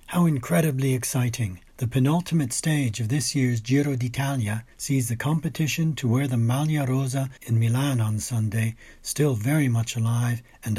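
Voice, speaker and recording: Husky, mellow man narrating. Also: mid-range voice. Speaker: food, wine and travel writer. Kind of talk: scripted narration of cycling race report.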